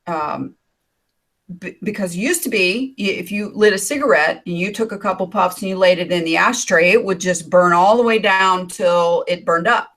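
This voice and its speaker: An older woman with a raspy voice, chatting loosely as a co-host on an online video call, rambling with fillers and asides. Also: fairly low pitch, normal speed, a bit bright. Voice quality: raspy from decades of smoking. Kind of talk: casual chat on a video call. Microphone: computer mic on a video call.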